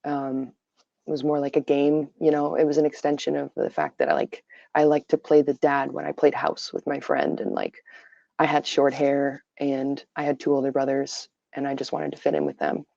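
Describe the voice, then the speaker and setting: A tired woman with a raspy voice, giving her opinions on a casual livestream full of fillers. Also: extremely low pitch, moderate pace, mellow, dry recording. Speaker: livestream host. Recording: dull lo-fi stream audio.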